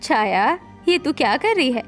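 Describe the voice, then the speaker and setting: A bossy woman of the household, played by a woman in a cartoon family drama. She scolds in a brisk, chiding way, in a high voice over music. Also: sing-song, fairly bright tone, heavily acted. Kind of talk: Hindi animated story character voice.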